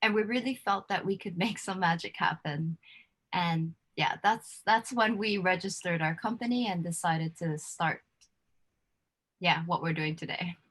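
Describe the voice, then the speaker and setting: A woman's voice: fairly low pitch, unhurried, fairly bright tone. Woman hosting a live chat, chatty, laughs a lot, lots of "like", sounds like a video call. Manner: chatty, laughs often, many fillers like "like" and "you know". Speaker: host. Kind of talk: casual livestream interview.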